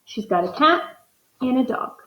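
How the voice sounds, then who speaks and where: Woman teacher, read-aloud lesson, talks through her inferences; higher voice, roomy echo, muffled sound. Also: expressive, normal speed. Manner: thinks aloud, modeling inferences for students.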